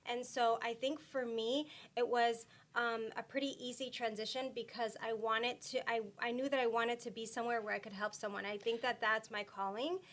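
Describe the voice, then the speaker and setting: A woman from Puerto Rico talking as a guest in a casual interview, soft-spoken with many fillers and restarts. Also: fairly high pitch, little pitch movement, moderate pace, hardly any pauses.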